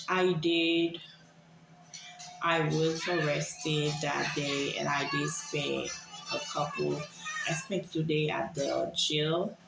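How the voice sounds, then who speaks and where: A woman from Haiti giving a personal, confessional monologue to viewers in a hesitant, halting way, often repeating herself. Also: deep voice, gravelly, echoey room, rough recording. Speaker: vlogger sharing personal experience.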